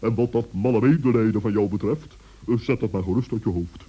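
A man playing a stern, bossy old owl in a children's radio play, pompous and reproving with drawn-out emphasis. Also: on the low side, warm tone, breathy edge. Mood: indignant. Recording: dull vintage radio recording.

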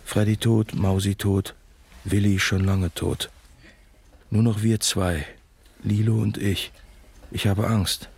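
A man playing a haunted, frightened survivor in a radio drama, speaking an inner monologue in a husky, gravelly voice. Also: fairly low pitch, level tone, slow, long pauses, a little mellow, full character voice. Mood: fearful, grim. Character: haunted, frightened male survivor, recovering alcoholic.

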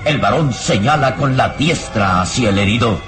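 An actor as the grave narrator of a radio drama, giving formal, suspenseful scripted narration. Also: male voice, medium pitch, animated, moderate pace, close to an everyday voice. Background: dramatic music.

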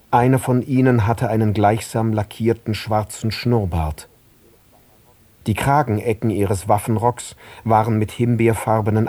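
An actor narrating a literary radio play as a sober, measured observer. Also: male voice, lowish voice, mellow, dry recording. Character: male narrator, sober observer recalling scenes in detail.

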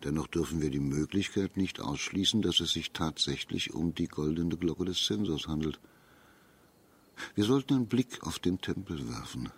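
An actor playing a shrewd, authoritative imperial judge in a radio drama, speaking in a measured, formal, deliberate manner. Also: male voice, sits low, lively intonation, breathy edge, full character voice. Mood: pondering, measured.

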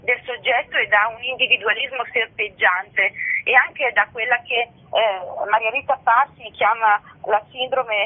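A woman with a somewhat high, husky voice speaks over a thin telephone line as a radio interview guest. She explains things earnestly in elevated, learned language, often pausing to search for the next phrase. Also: fairly even intonation. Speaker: festival director, interview guest.

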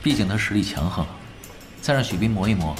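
An actor playing a calm, knowing young veteran gamer in a Chinese radio drama, talking in an unhurried, wry way. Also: male voice, fairly low pitch, faint music behind, lightly acted. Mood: analytical, confident.

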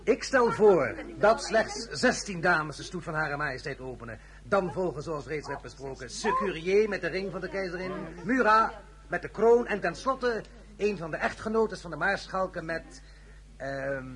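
A decisive, pompous emperor in a radio drama. His fairly high voice swings widely as he issues formal orders. A murmuring crowd surrounds him. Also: expressive, normal speed. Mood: measured authority. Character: imperious emperor Napoleon, commanding and decisive.

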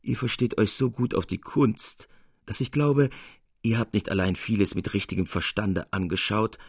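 Man acting husky, skeptical old master painter. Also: fairly low pitch, moderate pace, no room sound, studio quality. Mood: frank, surprised. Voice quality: weak, plaintive when ailing. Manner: emphatic, teasing, old-fashioned formal address. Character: weary, skeptical older master painter, male.

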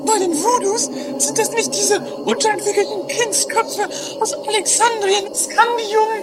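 A woman playing a naive, deadpan castle companion in a trashy amateur comedy radio play. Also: very high-pitched, unhurried, a loud crowd. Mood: curious, agreeable. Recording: loud music over 1980s radio play.

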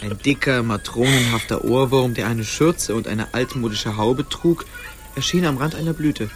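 A man's voice: medium pitch, normal speed, soft background music. A man narrating a radio play as a scripted storyteller.

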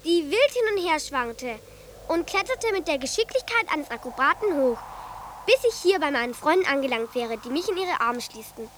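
A woman's voice: sits high, big pitch swings, moderate pace, noisy background. A woman playing an imaginative, boastful boy dramatically telling an adventure in a radio play. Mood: boastful excitement.

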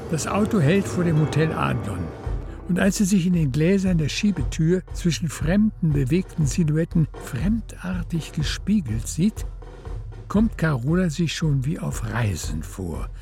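A man's voice: a little high, big pitch swings, measured pace, gravelly, dark tone, faint music behind. A man with pronunciation reading literary narration for a radio drama.

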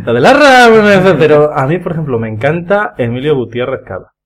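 A man with an accent from Spain chatting casually and effusively with friends on a podcast. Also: on the high side. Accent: Spanish from Spain. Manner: effusive, emphatic. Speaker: podcast panelist. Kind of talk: casual chat among friends. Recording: noisy room with hum.

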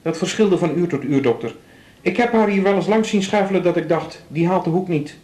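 A Dutch actor playing an officious, flippant nursing-home porter in a radio drama, wordy and mock-formal, in an echoing hall. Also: male voice, high voice, fairly quick, darkish tone, close to an everyday voice. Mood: chatty, defensive. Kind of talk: naturalistic 1980s radio drama acting. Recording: roomy, echoing studio scene.

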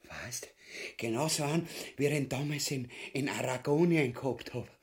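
An actor with a Viennese accent playing a pompous, crude, cowardly husband in a satirical radio play, blustering and muttering theatrically. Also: male voice, medium pitch, normal speed, natural voice. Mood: wistful, musing.

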